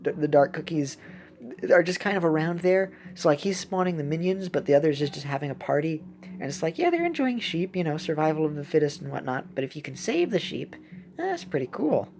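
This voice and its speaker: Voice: medium pitch, expressive, fairly quick, darkish tone. A young adult giving casual, playful gameplay commentary in a rambling, chatty way. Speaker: gaming video host. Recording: clearly audible background noise.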